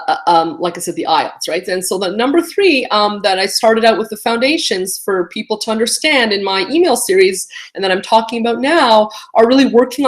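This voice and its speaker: Woman, native Romanian speaker, career coach, blunt, chatty, lots of fillers. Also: on the low side. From born in Romania, raised in Canada. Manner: chatty and blunt, full of fillers and tag questions. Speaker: career coach and immigration lawyer. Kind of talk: casual talking-head advice video.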